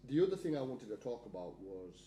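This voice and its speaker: A man's voice: lowish voice, big pitch swings, fast, warm tone, reverberant, slight background noise. A man hosting a casual podcast chat and telling everyday anecdotes. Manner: chatty, tells anecdotes. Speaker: podcast host.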